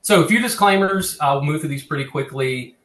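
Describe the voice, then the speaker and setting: A man's voice: fairly high pitch, moderate pace, a bit bright. Southern US man presenting in echoey room. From American South. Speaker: guest presenter, cemetery conservation consultant. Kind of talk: informal slide lecture. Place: online video call, echoey room. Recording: roomy, echoing webinar audio.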